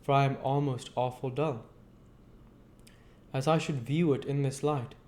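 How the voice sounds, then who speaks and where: A man's voice: medium pitch, steady tone, a little slow, darkish tone, voice pushed up, natural voice. A man voicing a kindly, uneducated country man from a novel, earnest and argumentative, with rustic, emphatic phrasing. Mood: earnest, gently insistent. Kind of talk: audiobook character voice.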